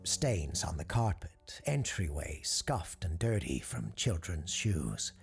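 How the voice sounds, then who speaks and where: A man acting as the grave, measured narrator of an eerie audio drama about a haunted attraction. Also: sits low, big pitch swings, gravelly, full character voice. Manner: measured, deliberate, storytelling.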